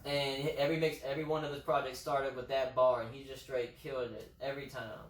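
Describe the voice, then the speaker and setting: A man co-hosting a casual podcast chat, loud and swearing freely, sometimes rapping along. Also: medium pitch, little pitch movement, a little fast, reverberant, distant mic, rough recording. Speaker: podcast co-host.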